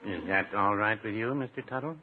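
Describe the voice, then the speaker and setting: An actor playing a dry, fussy older druggist in a 1950s radio comedy, hemming and deadpan. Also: male voice, on the low side, fairly quick, breathy edge. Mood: grudging, deadpan. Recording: very noisy, tinny 1950s radio recording.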